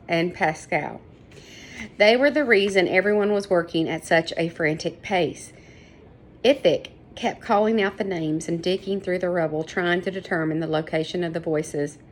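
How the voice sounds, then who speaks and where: A woman's voice: fairly low pitch, a little hiss or hum. A woman reading a children's story aloud, stumbling over names and correcting herself. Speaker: reader of a children's book. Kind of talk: read-aloud story narration.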